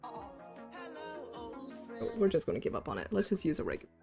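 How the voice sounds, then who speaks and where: A woman talking casually on a livestream about her animation sketches. She is chatty and self-deprecating, and her pitch rises and falls a lot. Faint music plays quietly under her voice. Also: wildly swooping pitch, a little fast, vocal fry.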